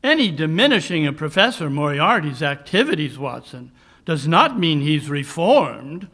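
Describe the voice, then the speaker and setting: A man's voice: fairly high pitch, big pitch swings, a bit bright, exaggerated voice. A man playing a coolly brilliant, brooding Victorian detective in a 1940s radio mystery style, speaking in precise, declamatory phrases. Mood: grave, brooding. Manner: deliberate, precise, declamatory monologues. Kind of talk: 1940s radio mystery acting, live re-creation.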